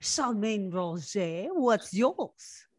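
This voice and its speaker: A woman's voice: medium pitch, expressive, darkish tone, no room sound, studio quality. A woman playing a proud, defiant young French femme fatale with a French accent in an old-time radio drama. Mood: proud, fervent. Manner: haughty, indignant, deliberate. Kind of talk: 1940s radio drama acting.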